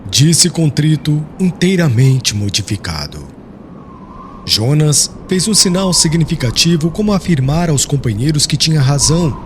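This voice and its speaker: A male audiobook narrator reads dramatic literary prose solemnly. His voice sits fairly high and moves through a wide melodic range, with slight noise in the background. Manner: solemn, formal.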